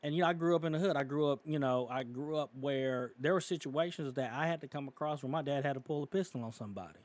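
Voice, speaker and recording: A man's voice: on the high side, fast, darkish tone, dry recording. A man from California co-hosting a podcast, talking in a casual, opinionated way with many fillers and restarts. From California, United States. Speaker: podcast co-host.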